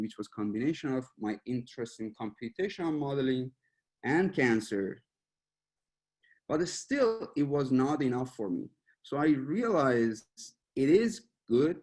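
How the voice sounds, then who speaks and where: Iranian-born man giving a relaxed webinar talk. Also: medium pitch. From Iran. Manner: relaxed, searching for words, with small laughs. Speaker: machine learning researcher giving a webinar.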